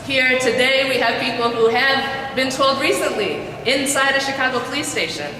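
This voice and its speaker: A woman's voice: high-pitched, moderate pace, pushed and bright. A woman attorney making an earnest, emphatic public statement at a press conference. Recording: very echoey hall, distant mic, noisy.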